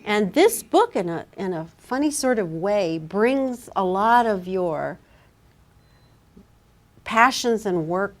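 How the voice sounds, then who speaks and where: A woman's voice: mid-range voice, animated, takes it slowly. A woman moderating a live literary discussion, asking musing, roundabout questions in a conversational way. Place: live public literary event.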